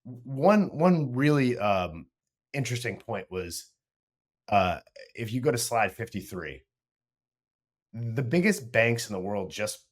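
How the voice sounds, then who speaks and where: A man co-hosting a casual market discussion, animated and full of trailing restarts. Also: medium pitch, slow, long pauses. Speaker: podcast co-host.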